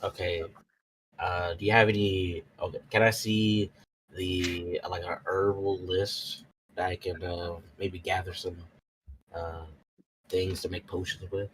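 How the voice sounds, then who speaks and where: A man with a low voice takes part in a tabletop roleplay. He speaks slowly and casually, hesitating as he thinks aloud. Faint noise sits behind him. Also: level tone. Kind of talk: casual in-game talk.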